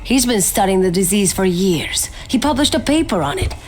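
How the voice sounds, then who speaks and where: A woman's voice: mid-range voice, animated, normal speed, faint music behind, natural voice. A woman playing an earnest scientist among survivors in a video game cutscene. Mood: earnest, defensive.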